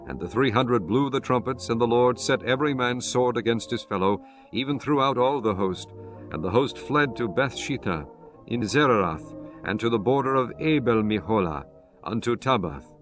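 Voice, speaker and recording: A man giving a measured, solemn scripted narration of scripture. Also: medium pitch, fairly bright tone.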